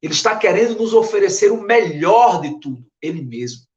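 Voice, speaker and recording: A man preaching an earnest, emphatic Bible sermon in a Brazilian Northeastern accent, his voice hoarse from a recent flu. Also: high-pitched, animated, fairly quick, dull audio. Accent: Brazilian Portuguese, Northeast. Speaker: pastor. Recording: echoey room.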